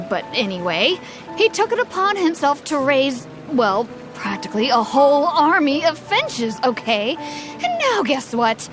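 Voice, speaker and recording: A woman's voice: sits high, heavily acted. A woman voicing an indignant village farmer woman who talks in an exasperated, sarcastic way. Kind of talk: game voice acting. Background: game music.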